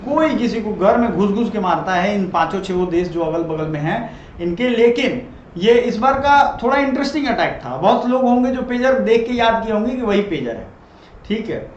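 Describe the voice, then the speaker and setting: High voiced guy teaching, chatty lecture style, keeps checking u get it, echoey muffled room. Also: expressive, normal speed. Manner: colloquial, often checks listeners follow. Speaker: teacher explaining current affairs. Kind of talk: informal explanatory lecture. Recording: dull, noisy, echoey room recording.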